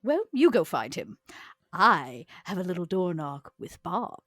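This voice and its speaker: A woman's voice: fairly low pitch, very animated, measured pace, fairly bright tone, no echo, studio quality, heavily acted. A woman playing a proud, scornful old vampire in a tabletop roleplay, with haughty, theatrical, formal phrasing. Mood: cool, firm. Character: proud, scornful old female vampire named Vera, elegant and ambitious.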